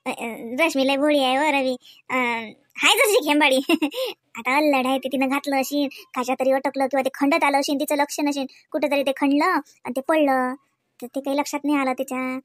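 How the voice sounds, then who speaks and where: A woman's voice: high-pitched, steady tone, normal speed, dry recording, lightly acted. A woman playing an earnest sister-in-law in a cartoon, coaxing and preaching in a Vidarbha Marathi accent with frequent questioning tags. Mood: pleading, reassuring. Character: earnest, persuasive sister-in-law counselling a young man. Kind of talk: cartoon dialogue in rural dialect.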